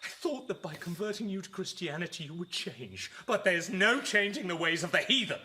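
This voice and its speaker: An actor playing a self-important, possessive husband who turns from sentimental to self-pitying in a theatrical radio drama. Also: male voice, high-pitched, expressive, brisk, sharp tone, slight background noise, exaggerated voice. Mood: bitter, scornful, self-pitying. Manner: theatrical, declamatory.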